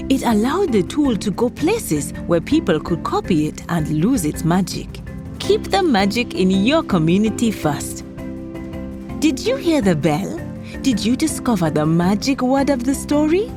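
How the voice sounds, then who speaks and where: Female children's story host, lively swooping pitch, theatrical and direct, music underneath. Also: a little slow. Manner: theatrical, addressing young listeners directly.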